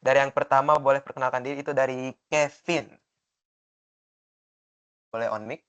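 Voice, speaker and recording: A man's voice: mid-range voice, fairly even intonation, normal speed, fairly bright tone, clean tone. A young man acting as student moderator of a group call, asking questions in an informal, hesitant way. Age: young adult.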